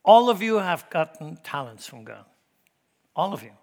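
A middle-aged man with a Swiss German accent, preaching a sermon in church, emphatic and shifting from loud to hushed. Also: on the high side, big pitch swings, moderate pace. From Switzerland. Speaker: pastor.

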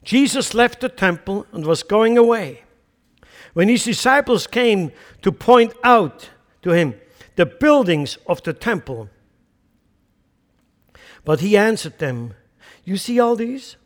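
High-voiced swiss-accented man preaching. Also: slow, pauses often, big-room sound. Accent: Swiss German. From central Switzerland. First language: Swiss German. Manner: animated, emphatic preaching with rhetorical questions. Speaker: pastor. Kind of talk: sermon.

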